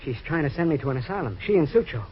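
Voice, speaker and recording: A jittery, paranoid husband who pleads and stammers in a rush. He sounds mid-pitched and on edge. The old radio sound is dull and faintly hissy. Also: big pitch swings, rapid-fire. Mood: frantic. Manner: anxious, stammering. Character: frantic, nervous husband, panicky, paranoid. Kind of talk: 1940s radio drama acting.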